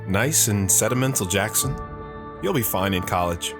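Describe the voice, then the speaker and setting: A man's voice: fairly low pitch, lively intonation, normal speed, over music. An actor playing an awkward, apologetic teenage boy. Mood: unsure. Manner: apologetic, unsure.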